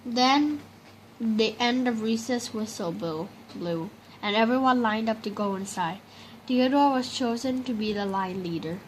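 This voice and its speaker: A woman with a fairly high voice narrates a children's story aloud. She reads in a storytelling style for young listeners. There is clear background noise in the recording. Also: a little slow, poor audio. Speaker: storybook reader.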